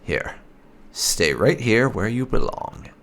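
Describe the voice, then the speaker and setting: A man hosts a casual game playthrough, thinking aloud as he goes. His low voice moves slowly but with lively swings in pitch. Faint music plays behind him. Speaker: gaming channel host. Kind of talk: casual video game playthrough commentary with light roleplay.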